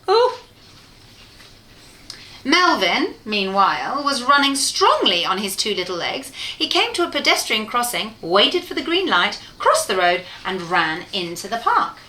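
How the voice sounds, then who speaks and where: A woman reading a children's bedtime story aloud in an expressive, playful way, speaking directly to her listener. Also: a little high. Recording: roomy, echoey room sound.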